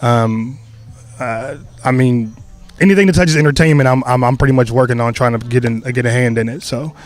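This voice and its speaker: Young male playwright, casual and rambling, filler-heavy, mid-pitched everyday voice. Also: a little fast. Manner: relaxed, loose, full of fillers and restarts. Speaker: playwright and creator of a stage play. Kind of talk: casual interview answers.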